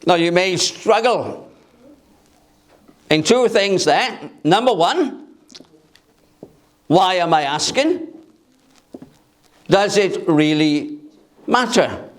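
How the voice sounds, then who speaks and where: A man preaching a sermon in a church through a microphone, speaking loudly and emphatically and asking rhetorical questions. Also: high-pitched, lively intonation, extremely slow, pauses often. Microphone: amplified by a microphone.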